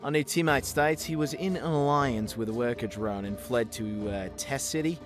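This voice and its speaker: A man's voice: mid-range voice, moderate pace, close to an everyday voice. Man playing smug, chatty killer robot. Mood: formal, deferential. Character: chatty, slightly smug male killer robot squad member. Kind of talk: fan comic dub acting. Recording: music behind the voice.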